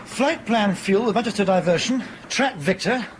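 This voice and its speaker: An actor playing a wry, joking airline captain in a radio drama. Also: male voice, high voice, moderate pace, a little hiss or hum, lightly acted.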